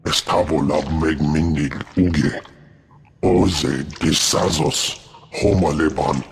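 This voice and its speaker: An actor playing an alien doctor in a video game, speaking slowly and gutturally in an invented tongue. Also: male voice, very deep voice, steady tone, creaky, a little hiss or hum, full character voice. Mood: clinical.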